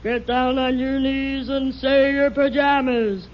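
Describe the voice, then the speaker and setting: A boy putting on a menacing spirit of doom for a radio comedy. The words crawl out with theatrical menace in a high voice. The old recording is dull and very noisy. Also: fairly even intonation. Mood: spooky, menacing. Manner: slow, drawn-out, spooky theatrical delivery. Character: boy hamming it up as a doom-bringing evil spirit. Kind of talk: 1950s radio comedy acting.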